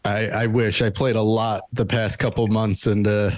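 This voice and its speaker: A man's voice: on the low side, level tone, normal speed. A man hosting a podcast, chatting in an easygoing, rambling way. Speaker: podcast host. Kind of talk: casual podcast chat.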